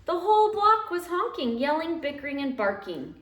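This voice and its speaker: A woman reading a children's picture book aloud at home in an expressive, dramatic way, drawing out key phrases. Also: high-pitched, moderate pace. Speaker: teacher reading aloud to young pupils. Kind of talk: animated children's storybook read-aloud. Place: living room at home. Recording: roomy, echoey home recording.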